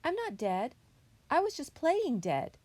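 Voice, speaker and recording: A woman voicing a playful, excitable little boy possum in a children's storybook. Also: high-pitched, slow pace, dry recording, pitched above the performer's own voice, full character voice. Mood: reassuring.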